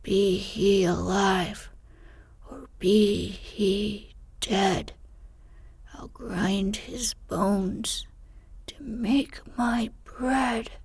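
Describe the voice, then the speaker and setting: A woman voicing a bellowing man-eating male giant in a slow, breathy whispered storybook reading. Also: mid-range voice, steady tone, darkish tone, exaggerated voice. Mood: menacing.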